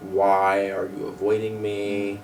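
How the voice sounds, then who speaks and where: A man with a deep voice, talking candidly on a radio panel, hesitant and full of "like" and restarts. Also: completely flat delivery, slow pace, creaky, recorded from a distance. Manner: hesitant, many fillers and restarts. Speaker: panel guest. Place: radio studio.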